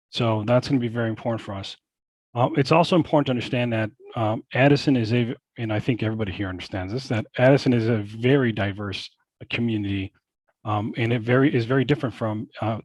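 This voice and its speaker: A man's voice: mid-range voice, no echo, low-quality recording. A man answering as a school board candidate in a panel Q&A, earnest and full of fillers and repeated phrases.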